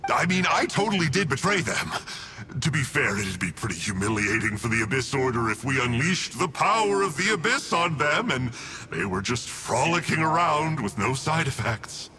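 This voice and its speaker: A man's voice: medium pitch, very animated, moderate pace, background noise, natural voice. An actor voicing a smug, scheming male villain in a video game, breezy, mocking and theatrical as he toys with his listeners. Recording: music behind the voice.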